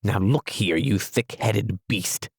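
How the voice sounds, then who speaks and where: A man's voice: fairly low pitch, takes it slowly, gravelly, dry recording, clean studio sound, exaggerated voice. A man voicing an impatient, clever male water rat in a storybook reading, brusque and scolding. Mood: angry.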